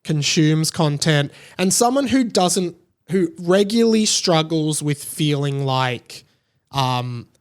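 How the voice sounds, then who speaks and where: A man's voice: fairly high pitch, animated, slow pace, clean tone. A man hosting a podcast solo, giving casual commentary in a conversational way, thinking aloud and repeating words for emphasis. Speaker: podcast host.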